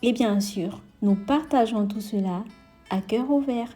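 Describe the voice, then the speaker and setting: A woman hosting a podcast, opening the show with a measured, welcoming scripted introduction. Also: on the high side, mellow, faint music behind. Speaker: podcast host.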